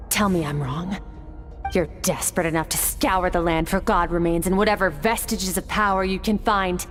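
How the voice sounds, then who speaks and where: An actress playing a cool, aloof female warrior mentor in a video game, speaking calmly and coldly. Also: on the low side, close to an everyday voice. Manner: calm, cold, measured.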